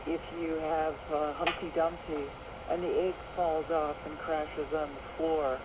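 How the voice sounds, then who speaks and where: Slightly high-voiced man on a talk show, thoughtful, with halting pauses, heard through shortwave static. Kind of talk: talk-radio discussion. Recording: shortwave radio broadcast with heavy static.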